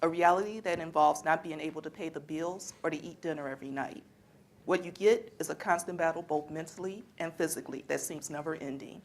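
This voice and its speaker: A woman's voice: low-pitched, a little fast. A young woman student presenter reading a scripted readers theater narration. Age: young adult. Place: indoor college library event.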